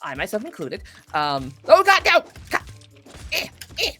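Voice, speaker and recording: A woman voice actress chatting casually as an interview guest, self-critical and animated, acting out her inner thoughts as she goes. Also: mid-range voice, a little slow, husky, soft background music. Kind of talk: casual chat while playing a video game.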